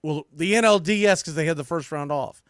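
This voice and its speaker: A man's voice: fairly high pitch, brisk, dry recording, clean studio sound. A man with an American accent giving blunt, emphatic, opinionated baseball commentary as a sports podcast host. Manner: blunt, emphatic, mildly salty language.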